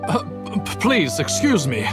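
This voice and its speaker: Nervous, slow-paced master brewer. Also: male voice, on the high side, full character voice. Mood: flustered. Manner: stammering, fawning, excitable. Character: nervous, eager, deferential male master brewer. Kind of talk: video game voice acting. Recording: music behind the voice.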